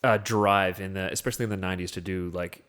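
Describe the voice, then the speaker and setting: A man's voice: low-pitched, fairly even intonation, fast, fairly bright tone. A man co-hosting a film podcast, talking casually and telling things as a story with acted-out asides. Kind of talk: casual film discussion with plot recap.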